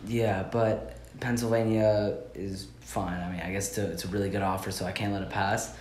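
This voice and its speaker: A man's voice: lowish voice, level tone, brisk, darkish tone, a little hiss or hum, lightly acted. A young man playing a businesslike buyer who makes a phone deal and haggles, in an amateur school play recorded in an echoey room. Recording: echoey room with music behind.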